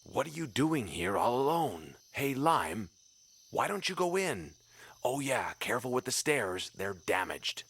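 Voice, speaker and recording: A man voicing a gruff, fatherly older doctor in an English anime dub, with a husky voice. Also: mid-range voice, sing-song, a little slow, fairly bright tone, heavily acted. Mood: concerned. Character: gruff kindly older male doctor, practical comforter.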